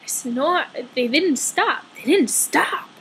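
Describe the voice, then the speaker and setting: A woman's voice: high-pitched, lively intonation, measured pace, a bit bright, slight background noise, low-quality recording. A woman telling a personal story casually, dramatically acting out dialogue with a sarcastic edge.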